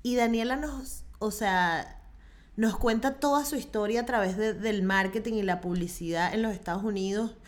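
A woman hosting a podcast, talking with eager enthusiasm and lots of fillers. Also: mid-range voice. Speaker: podcast host. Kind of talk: casual podcast chat.

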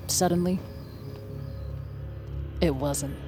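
An actress playing a woman who grimly looks back on her haunted childhood, narrating in a measured, somber way. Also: lowish voice, fairly even intonation, over music. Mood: somber, reflective. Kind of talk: scripted first-person drama narration.

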